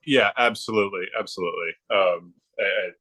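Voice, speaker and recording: A man's voice: low voice, fairly quick, sharp tone. A man speaking as a podcast guest in casual chat, agreeable and thinking aloud with hesitations. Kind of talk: casual chat about comic books.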